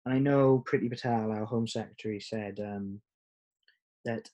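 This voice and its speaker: A man's voice: on the low side, fairly even intonation, normal speed, mellow, lo-fi sound. Hesitant man hosting a video chat. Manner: hesitant, many ums and fillers. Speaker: host. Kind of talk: hosting a casual recorded chat.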